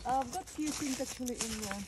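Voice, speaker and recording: A woman's voice: high voice, fast talker, background noise. Chatty woman touring her garden outdoors. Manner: chatty, pointing things out as she walks. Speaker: garden vlogger. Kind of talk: casual garden tour walkthrough.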